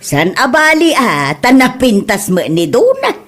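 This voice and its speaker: A woman's voice: a little high, huge pitch swings, measured pace, fairly bright tone, faint music behind, full character voice. A woman playing a plain-spoken member of a household in a family comedy radio drama, chiding matter-of-factly with an Ilocano accent.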